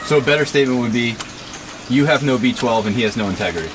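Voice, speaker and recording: A man interviewing casually, asking probing questions in a needling, deadpan way. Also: medium pitch, fast. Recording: clearly noisy, ambient sound.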